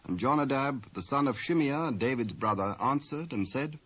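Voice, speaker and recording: A man's voice: medium pitch, expressive, normal speed, a bit bright, husky. A British man giving a scripted dramatic narration of the Bible in formal archaic English. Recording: dull old cassette transfer.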